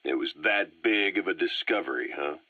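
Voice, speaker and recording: A man's voice: low voice, lively intonation, normal speed, dry recording. A man acting a suspicious mercenary commander in a video game, laconic and probing. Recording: dull, dry cassette-style recording.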